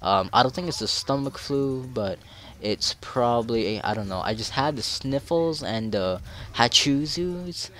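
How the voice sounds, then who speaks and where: A man's voice: mid-range voice, expressive, a little slow, fairly bright tone. A young man with a nasal, stuffy voice from a cold, explaining game mechanics in a tutorial voice-over and rambling in self-deprecating asides. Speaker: game guide creator.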